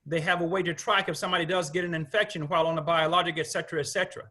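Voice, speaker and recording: Male physician lecturer, high and bright voice, casual lecturing with restarts and little quoted asides. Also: steady tone, rapid-fire. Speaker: rheumatologist giving a lecture. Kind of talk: conference lecture over slides.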